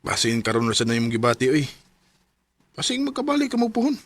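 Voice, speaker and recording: A man's voice: medium pitch, huge pitch swings, quick pace. An actor playing a frank, teasing young male friend in a radio drama, with a Cebuano Filipino accent. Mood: teasing, coaxing.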